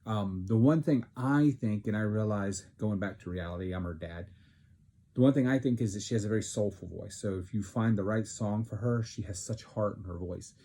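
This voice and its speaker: Low, mellow-voiced joking dad. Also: male voice, a little fast, slight background noise. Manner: teasing, joking, rambling with many fillers. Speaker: podcast host and father. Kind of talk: casual family chat.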